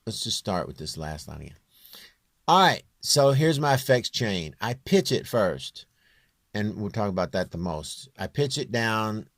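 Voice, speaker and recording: Deep-ish guy walking through his vocal setup, thinking out loud, halting, dry close sound. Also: no echo. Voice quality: low, near-bass voice. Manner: halting, thinking aloud while working. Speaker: home musician demonstrating his vocal production. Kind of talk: informal screen tutorial.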